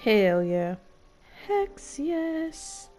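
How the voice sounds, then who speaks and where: A woman's voice: high-pitched, big pitch swings, drawn-out words. A woman doing casual, playful commentary as she streams a game, talking back to the characters. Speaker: gameplay streamer. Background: game music.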